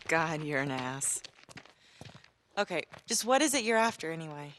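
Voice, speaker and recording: An actress playing a tough, skeptical journalist in a war zone, blunt and sardonic, for a video game. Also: female voice, deep voice, big pitch swings, measured pace, fairly bright tone, heavily acted. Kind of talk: naturalistic video game cutscene acting. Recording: faint background noise.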